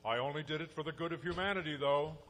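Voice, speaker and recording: A man's voice: fairly high pitch, fast, fairly bright tone, slight background noise, lightly acted. A man playing a hesitant older scientist father in a live comic radio play. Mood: regretful. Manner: hesitant, stammering. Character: flustered elderly father, hesitant scientist doctor. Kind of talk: live comedic audio theatre parody. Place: stage, live performance.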